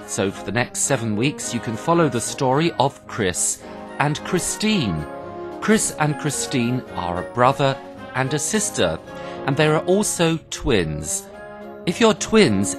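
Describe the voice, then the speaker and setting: A radio presenter introduces a programme for English learners over clearly audible music. The delivery is scripted and explanatory, with very lively intonation and repeated key phrases. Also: medium pitch.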